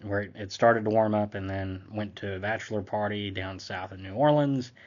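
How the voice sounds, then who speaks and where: A man's voice: fairly low pitch, steady tone, moderate pace, muffled recording. Young man with a slight cold. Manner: offhand, conversational, reading off a list. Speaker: host. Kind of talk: casual sports recap commentary.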